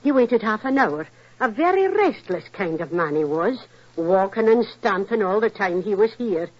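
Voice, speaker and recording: Chatty, animated, husky housekeeper. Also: female voice, medium pitch, moderate pace. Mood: flustered, respectful. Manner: colloquial, respectful. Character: homely, deferential, chatty working-class housekeeper. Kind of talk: 1940s radio acting. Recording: muffled, rough old radio recording.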